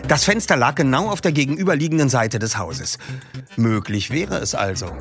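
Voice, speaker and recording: A man reading a book passage aloud as narrator, telling the story expressively. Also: medium pitch.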